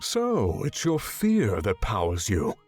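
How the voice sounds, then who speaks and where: A stern, exacting male mentor of the sword from a fantasy game. His voice sits on the low side, and he instructs in a measured, commanding way with little patience for mistakes. Music plays softly behind him. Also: huge pitch swings, slightly rough, exaggerated voice. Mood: calm, resolute. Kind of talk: scripted visual novel game voice acting. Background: background music.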